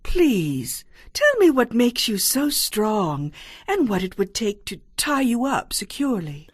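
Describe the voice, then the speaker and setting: Delilah, wildly swooping high voice. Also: female voice, big pitch swings, slow, breathy edge, no room sound, clean studio sound, heavily acted. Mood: coaxing. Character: Delilah, coaxing, scheming seductress of ancient times.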